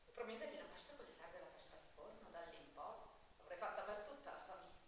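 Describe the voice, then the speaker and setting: An actress playing a naive, volatile Sicilian mafia bride addressing a judge in a stage drama, with a Sicilian accent and dramatic swings between confiding and outcry. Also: female voice, fairly low pitch, animated, fast, lightly acted. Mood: wistful, confiding. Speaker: stage actor. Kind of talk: theatrical monologue and dialogue. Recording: very echoey hall, distant mic, faint noise.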